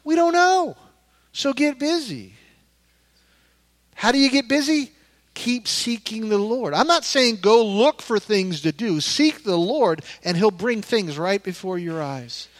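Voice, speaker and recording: A man's voice: sits high, lively intonation, a little slow, no room sound. A man in his fifties preaching an informal sermon as a pastor, conversational and emphatic, often repeating phrases for weight. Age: 50s.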